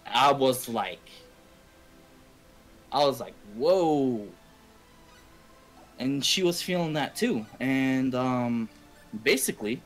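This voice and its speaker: A man's voice: medium pitch, a bit bright. A young man from Canada telling a rambling, slangy, profanity-heavy story casually on a game stream. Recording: game music under the voice. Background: video game music.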